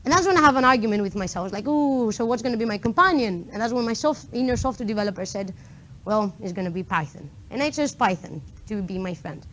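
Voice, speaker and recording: A woman's voice: medium pitch, animated, fast talker, fairly bright tone, a little hiss or hum, poor audio. A young woman from Greece with a Greek accent, giving a chatty talk to a meetup audience, full of fillers and asides. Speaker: conference speaker. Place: lecture room before an audience.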